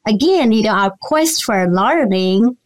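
A woman's voice: medium pitch, expressive, a little slow, no room sound. A woman from Nepal, hosting an interview in an enthusiastic, rambling way. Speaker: podcast host. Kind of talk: interview chat.

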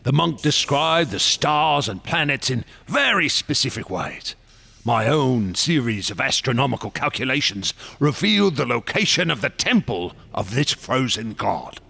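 A passionate, secretive artist obsessed with ancient gods. His voice is gravelly and husky, swooping widely as he tells his tales with theatrical grandeur, in the manner of radio drama. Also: medium pitch, sing-song, a little slow, pushed and bright, exaggerated voice. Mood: fervent storytelling.

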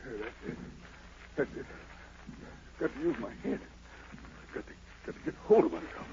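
An actor playing a frightened, boastful fugitive emperor with a gravelly American voice in 1940s radio drama, theatrically muttering to himself and crying out. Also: male voice, medium pitch, sing-song, moderate pace, natural voice. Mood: anxious, weary. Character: fleeing self-made emperor, frightened, boastful, haunted, superstitious fugitive. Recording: noisy, muffled 1940s radio broadcast.

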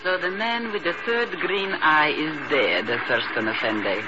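An actor playing a scheming, venomous foreign agent in a melodramatic 1950s radio drama. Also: male voice, fairly high pitch, sing-song, a little slow, over music. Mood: cool, disappointed. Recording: muffled, noisy 1950s radio recording.

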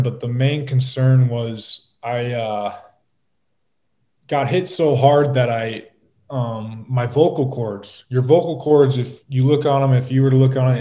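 Young male guest, mellow low-key delivery, laid-back and rambling. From Minnesota. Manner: relaxed, rambling, with many fillers. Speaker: guest, pro football player. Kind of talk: casual interview.

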